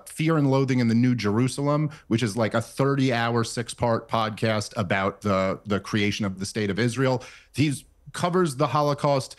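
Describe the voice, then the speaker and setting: A man, a guest commentator, defending his view in a casual yet insistent way. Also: medium pitch. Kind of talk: debate interview.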